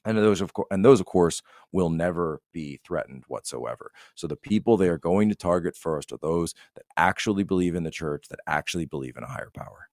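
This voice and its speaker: A man's voice: low voice, a little fast, hardly any pauses, a little mellow, no echo, clean studio sound. A man giving conversational political commentary as a podcast guest, emphatic and repeating phrases to drive points home.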